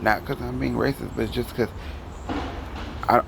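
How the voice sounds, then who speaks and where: A man's voice: mid-range voice, fairly even intonation, moderate pace, gravelly, background noise. A man from Detroit with a Detroit accent, ranting his opinions in a rambling vlog. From Detroit, Michigan. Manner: heated, rambling, talks to the camera. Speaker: vlogger.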